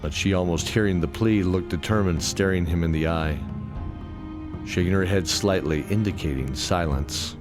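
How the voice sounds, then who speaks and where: A man reading scripted fantasy audio drama narration in a measured storytelling manner. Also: sits low. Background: music.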